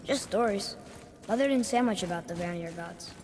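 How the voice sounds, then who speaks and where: A woman's voice: mid-range voice, moderate pace, big-room sound, noisy background, lightly acted. A performer playing a curious, earnest young boy in a video game, talkative, inquisitive and eager.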